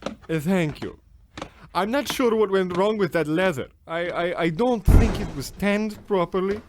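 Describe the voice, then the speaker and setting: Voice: mid-range voice, measured pace, noisy background, lightly acted. An actor playing a finicky, complaining cobbler in an old-time radio comedy style. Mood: disgusted, dramatic.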